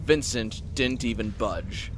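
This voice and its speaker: A man's voice: medium pitch, slow pace, faint music behind. A man narrating scripted fiction as a dramatic storyteller.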